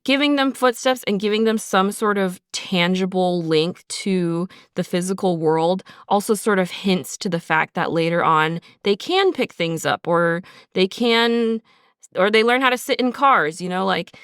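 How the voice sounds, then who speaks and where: A woman's voice: medium pitch, measured pace, fairly bright tone, clean tone, no room sound, clean studio sound. A woman podcast host talking as a sound designer, explaining methodically and conversationally with examples. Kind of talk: behind-the-scenes podcast discussion, explanatory.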